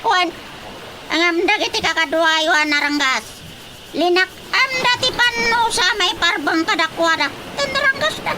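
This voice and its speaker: Very high-pitched talking sea creature. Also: female voice, lively intonation, normal speed, very noisy. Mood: solemn warning. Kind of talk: radio drama acting.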